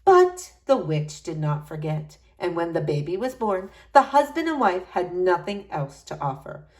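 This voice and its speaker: A woman reading a fairy tale aloud as an expressive storyteller. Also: lowish voice, a little slow.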